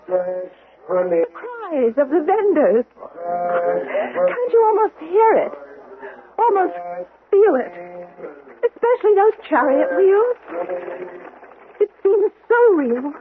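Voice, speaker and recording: An actress playing a young widowed history teacher, wistful and grieving, speaking hesitantly and emotionally in an old-time radio drama. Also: female voice, sits high, sing-song, slow, long pauses, full character voice. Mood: entranced. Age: young adult. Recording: muffled, band-limited 1970s radio broadcast.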